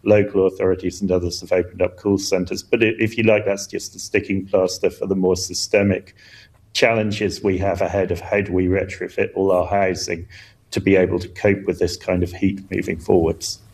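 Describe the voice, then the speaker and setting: A man's voice: low voice, level tone, normal speed, a little mellow, slightly rough. A man speaking as an expert guest in a news interview, explaining earnestly and emphatically, often repeating words as he goes. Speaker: climate scientist, expert guest.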